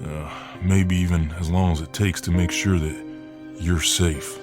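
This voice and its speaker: A man's voice: low voice, flat delivery, unhurried, over music. A man acting out a friendly, protective, cocky Southern US mailman in an ASMR role-play, with a folksy, soothing drawl. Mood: protective, easygoing.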